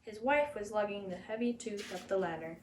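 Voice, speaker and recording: A woman narrating a children's story as a reader, in an expressive storytelling style. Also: mid-range voice, a little fast. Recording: echoey room, faint background noise.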